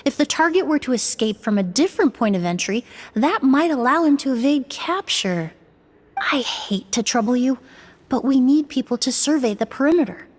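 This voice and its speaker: An actress playing a composed, analytical young woman investigator in a fantasy video game, speaking in a formal, measured, articulate way. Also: sits high, lively intonation. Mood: calm, analytical. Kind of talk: scripted video game voice acting.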